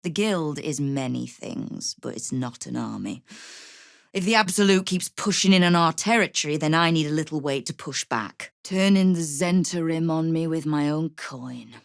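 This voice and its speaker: A woman's voice: low voice, moderate pace, creaky, no echo. An actress playing a tough, sardonic woman who runs a city thieves' guild in a fantasy video game, with a dry, commanding manner. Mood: grim, defiant. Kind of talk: video game character acting.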